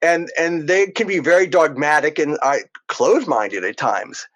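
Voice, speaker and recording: A man's voice: fairly high pitch, lively intonation, moderate pace, fairly bright tone. Chuckling middle-aged man, lo-fi sound. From Princeton, New Jersey. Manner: rambling, chuckling storyteller. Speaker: podcast guest. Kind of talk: casual interview chat. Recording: dull lo-fi audio.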